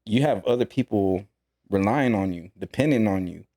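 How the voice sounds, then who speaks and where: A man talking about his life as a podcast guest, earnest and reflective, with halting restarts. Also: fairly low pitch, dark tone. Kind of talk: casual podcast conversation.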